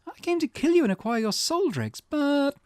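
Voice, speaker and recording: A man's voice: extremely high pitch, big pitch swings, moderate pace, no echo, pitched above the performer's own voice. A man voicing a brash, confrontational young woman in a visual novel, taunting and bluntly pressing with pointed questions. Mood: blunt, challenging.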